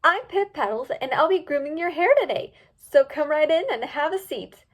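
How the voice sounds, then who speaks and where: A perky pony hairdresser, loud and gushing, with a high, bright valley-girl sparkle. A woman puts her on in a storybook reading. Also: expressive, moderate pace.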